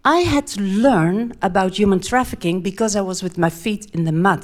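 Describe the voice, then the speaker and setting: A middle-aged Dutch woman with a Dutch accent, giving an earnest talk in English in short phrases, pausing for an interpreter. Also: mid-range voice. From Netherlands. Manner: earnest, pauses often between short phrases. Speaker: speaker.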